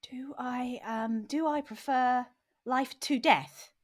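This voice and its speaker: A woman's voice: sits high, slow pace. A woman playing a tabletop role-playing game, talking hesitantly with a wondering tone. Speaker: player.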